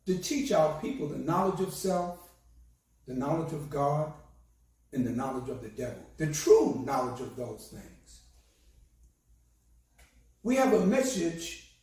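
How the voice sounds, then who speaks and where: A hoarse man, a minister, giving a slow and solemn sermon with a recited prayer. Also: fairly high pitch, darkish tone. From United States. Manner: slow, measured, solemn delivery. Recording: very echoey, distant from the mic.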